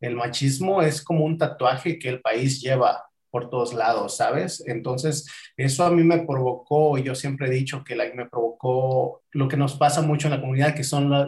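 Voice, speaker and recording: A man's voice: mid-range voice, darkish tone. Mexican man in an echoey room. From Acapulco, Mexico. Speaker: podcast guest. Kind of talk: personal storytelling in an interview.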